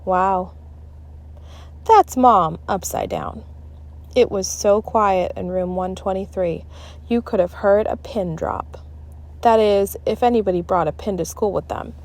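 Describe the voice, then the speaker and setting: A woman narrating a children's book aloud in an expressive, dramatic, deliberate style. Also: mid-range voice. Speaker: storybook reader.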